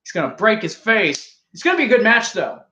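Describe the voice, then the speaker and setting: A man's voice: high voice, very animated, fairly quick, sharp tone, echoey room. A man casually reviewing a wrestling show, rambling and joking as he argues with his co-host. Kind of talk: casual live review chat.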